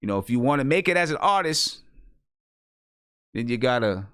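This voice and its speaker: A man hosts a music show in casual, insistent talk, laying down guidance for his listeners. His pitch moves around a lot, and the sound is dry and close, with very little room on it. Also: animated, moderate pace, sharp tone, smooth, no echo. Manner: instructive, insistent, often checking with "right?". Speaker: host of an independent hip hop music review show. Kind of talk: casual live radio-show talk addressed to artists and listeners.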